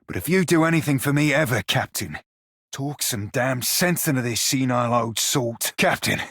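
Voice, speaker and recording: Husky, roguish pirate shiphunter. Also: male voice, mid-range voice, animated, moderate pace, a bit bright, dry recording, clean studio sound. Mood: agitated, indignant. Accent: pirate-style English dialect. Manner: salty seafaring slang, colourful cursing, wry. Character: roguish, foul-mouthed blue-skinned pirate shiphunter, loyal and sly. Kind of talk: video game character dialogue.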